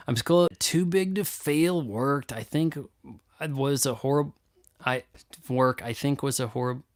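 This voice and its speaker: A man in his thirties, chatting casually on a live stream in a rambling, crude, sarcastic way, often stopping and restarting his sentences. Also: mid-range voice. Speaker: streamer answering chat.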